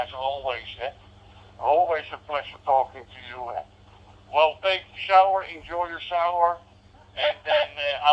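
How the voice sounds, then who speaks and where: Dutch man on muffled ham radio. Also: a little high. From Netherlands. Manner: frequent "eh?" tags, repeats phrases, hesitant. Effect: digital voice radio. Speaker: amateur radio operator. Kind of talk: casual radio chat. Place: in a parked car, engine running. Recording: muffled, band-limited digital ham radio transmission.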